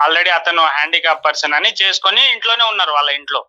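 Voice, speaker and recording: Bright-voiced young husband on a muffled phone line. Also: male voice, fairly high pitch, quick pace, no room sound. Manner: hesitant, explanatory, polite.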